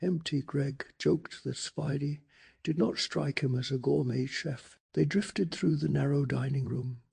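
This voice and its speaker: A man reads a scripted story in a soft ASMR style. His voice sits slightly high for a man and is very breathy, with a thick crackle of fry running through it. The delivery stays calm, hushed and measured, made for falling asleep. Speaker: narrator of bedtime story recap.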